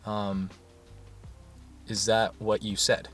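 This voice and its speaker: A man talking in a soft, soothing voice in a casual rambling monologue, full of fillers and restarts. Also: fairly low pitch, little pitch movement, takes it slowly, faint music behind. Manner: soft-spoken, meandering, many fillers and restarts. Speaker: YouTuber.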